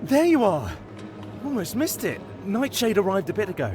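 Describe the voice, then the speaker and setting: A chatty excitable rabbit pal, high voice jumping all over, clear music behind. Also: male voice, unhurried. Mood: giddy. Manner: animated, playful. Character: excitable, chatty, teasing male rabbit friend. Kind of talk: video game voice acting.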